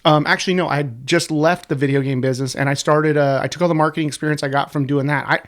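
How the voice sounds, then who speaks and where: A man in his forties talks in a slightly raised register, his voice stuffed up and sniffly from a sinus infection. He tells stories quickly and loosely, dropping curse words as a podcast guest. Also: a little high. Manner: fast, profane, animated storyteller. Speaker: guest, website co-creator. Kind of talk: casual podcast chat.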